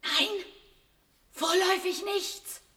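A woman's voice: very high voice, fairly even intonation, slow pace, pushed and bright, heavily acted. A woman playing an anxious, pleading female captive in a science-fiction radio play, with hesitant, repeated phrases. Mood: uneasy. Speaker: radio drama actor. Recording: long echoing reverb.

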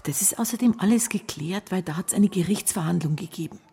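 Secretive bavarian housewife in a radio play, hushed n evasive, really husky with creaky bits. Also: female voice, on the low side, a little fast. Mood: evasive, dismissive. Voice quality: husky, breathy. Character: evasive, secretive small-town Bavarian wife, gossipy. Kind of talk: radio drama acting.

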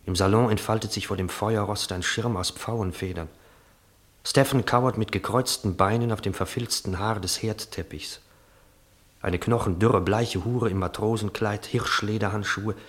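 A man's voice: fairly low pitch, lightly acted. A man narrating a literary radio drama as an observant storyteller, in precise, descriptive, clipped phrases. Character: observant narrator of a brothel scene. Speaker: narrator of stage directions. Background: music.

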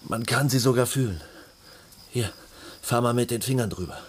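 A man's voice: mid-range voice, moderate pace, slight background noise, close to an everyday voice. An actor playing a man who intimately recalls his past in suspenseful short phrases, in a radio drama. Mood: confiding, bitter. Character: man intimately recalling harsh childhood and night adventure.

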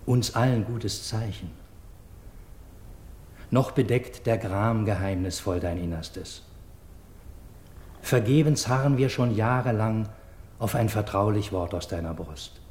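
Roomy old verse radio play, loyal king's messenger, earnest and formal, takes his words really slow. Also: a little mellow. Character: loyal, reverent male royal messenger. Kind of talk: classical verse drama radio acting. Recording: echoey, noisy radio recording with rumble.

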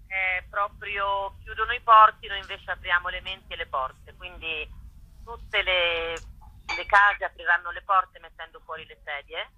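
Very sharp, bright woman on the phone. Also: fairly low pitch, fairly even intonation, unhurried. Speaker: author and actress, interview guest. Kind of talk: conversational radio interview. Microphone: telephone. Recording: dull, dry telephone line.

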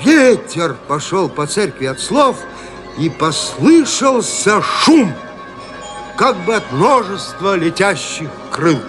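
A high-pitched, dramatic male storyteller. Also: sing-song, takes it slowly, full character voice. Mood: suspenseful. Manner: theatrical, suspenseful delivery. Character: storyteller of a gothic horror tale, suspenseful. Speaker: narrator. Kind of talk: dramatic scripted narration of a gothic horror tale. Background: music.

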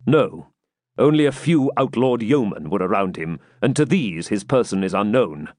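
A man's voice: on the low side, lively intonation, moderate pace, a little mellow, no room sound, clean studio sound. A man voicing a grim, battle-worn medieval knight with solemn, emphatic archaic speech. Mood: insistent.

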